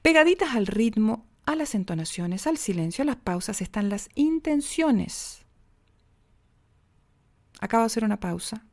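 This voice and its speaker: A Chilean woman teaches diction in a podcast lesson, her voice dark and mellow with a gravelly edge. She shows pauses and tempo shifts as she goes, swinging her pitch and pace to make each example. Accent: Chilean Spanish. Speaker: speech and diction coach.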